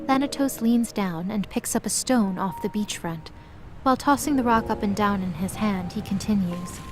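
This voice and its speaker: A woman's voice: medium pitch, normal speed, music in the background. A woman reading scripted narration for a mythological audio drama. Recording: clearly noisy background.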